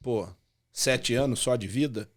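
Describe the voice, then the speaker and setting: A man's voice: mid-range voice, a little slow. A man in his fifties from Brazil, a personal trainer and pastor, chatting casually as a podcast guest and peppering his talk with slang. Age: 50s.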